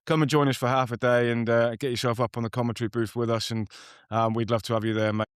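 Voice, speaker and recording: A man's voice: medium pitch, level tone, fast, smooth, no echo, studio quality. A man co-hosting a rugby podcast who has coached players, talking in a friendly, chatty way. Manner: friendly, chatty, warm thanks. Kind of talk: casual podcast chat.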